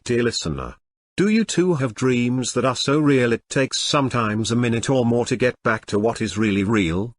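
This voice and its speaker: A man's voice: mid-range voice, fairly bright tone, no room sound. A man hosting an audio theatre show in a formal, scripted style, addressing the listeners. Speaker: host.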